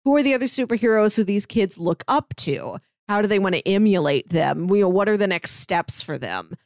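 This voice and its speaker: A woman's voice: medium pitch, expressive, fairly quick, a little mellow, dry recording. Woman on a podcast, chatty n keen, casual review talk, says like a lot, really dry recording. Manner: chatty, enthusiastic, frequent "like". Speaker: podcast panelist.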